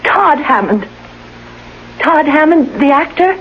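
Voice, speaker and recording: An actress playing a frightened, bedridden wife pleading for help in an overwrought, emphatic way, in a 1980s radio drama. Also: female voice, high-pitched, lively intonation, slow pace. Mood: anxious pleading. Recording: dull, hissy old radio recording.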